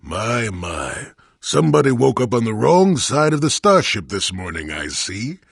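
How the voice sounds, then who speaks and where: An actor playing a cantankerous, wry old male Jedi hermit in a video game, with a gravelly, husky voice and a grumbling, sardonic manner. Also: medium pitch, measured pace, dry recording, studio quality, heavily acted. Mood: grumpy, mocking. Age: older. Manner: grumbling, sardonic, theatrically expressive. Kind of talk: video game character dialogue.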